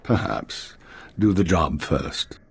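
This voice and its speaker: An actor playing a stern, commanding spymaster in a video game, with a clipped, authoritative manner. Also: male voice, low voice, animated, slow pace, breathy edge, full character voice. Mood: grave, commanding.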